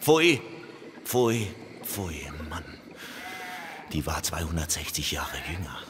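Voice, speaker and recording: An actor in a radio play playing the devil's exasperated confidant, scolding and sarcastic. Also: male voice, rumbling low, very animated, slow, a bit bright, heavily acted. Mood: scolding, disgusted. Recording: long reverb, faint background noise.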